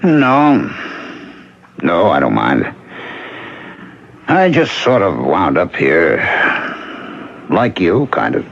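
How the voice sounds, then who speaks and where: A halting, grieving drifter, animated pitch. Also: male voice, gravelly, heavily acted. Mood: kindly, casual. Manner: halting, hesitant, conversational. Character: grieving, drifting widower and former wino, gentle and plain. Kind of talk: old-time radio drama acting. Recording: muffled vintage radio broadcast.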